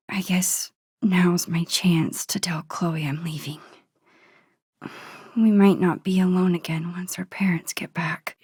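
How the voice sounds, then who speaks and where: A gentle, worrying teenage girl in a video game monologue. Her voice is soft, husky and breathy, recorded very dry. She murmurs her thoughts to herself in a sweet, introspective, nostalgic way. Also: fairly low pitch, no room sound, full character voice. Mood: hesitant, worried.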